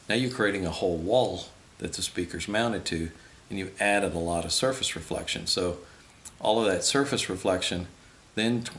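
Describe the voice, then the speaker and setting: A man in his fifties giving an informal, matter-of-fact technical explanation in a small room, speaking off the cuff to a camera a few feet away. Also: sits low. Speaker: host explaining audio equipment. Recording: steady background hiss.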